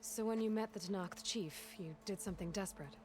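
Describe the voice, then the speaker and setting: An actress playing a plainspoken young warrior in a video game, terse, direct and understated. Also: female voice, medium pitch, a little fast, a little mellow, faint music behind, lightly acted. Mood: curious, dry. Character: plainspoken young female wandering warrior, guarded and dry.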